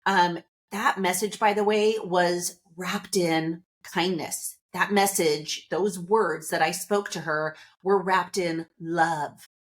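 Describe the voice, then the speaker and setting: A woman's voice: lowish voice, fairly even intonation, slow. A woman hosting a podcast, talking to listeners in a casual, upbeat and chatty motivational monologue, seated indoors. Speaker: podcast host.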